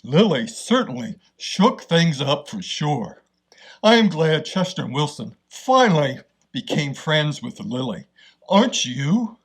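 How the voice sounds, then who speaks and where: A man's voice: fairly high pitch, sing-song, measured pace, breathy edge, heavily acted. A man voicing a kindly, encouraging bear who hosts a children's storytime. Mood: kindly, cheerful. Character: friendly, gentle bear storytime host.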